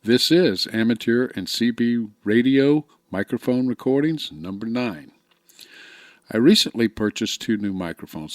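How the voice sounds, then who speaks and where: Mature man, lowish voice, deliberate phrasing, informal hobbyist demo, close dynamic mic, no room sound. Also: no echo. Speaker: amateur radio hobbyist reviewing microphones. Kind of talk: informal explanatory product demo narration. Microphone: close dynamic podcast or studio microphone.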